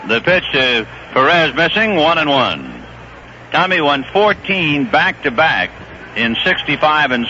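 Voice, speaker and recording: A middle-aged man calling a baseball game as play-by-play announcer in live radio commentary, brisk and conversational. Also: medium pitch, bright, forceful tone. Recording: dull old radio broadcast with faint hiss.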